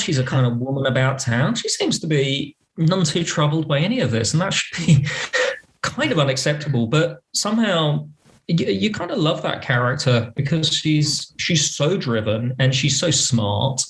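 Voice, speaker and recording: A man speaking as a podcast guest in casual conversation, thoughtful and halting, often rephrasing as he goes. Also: fairly high pitch, very animated. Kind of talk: casual interview chat.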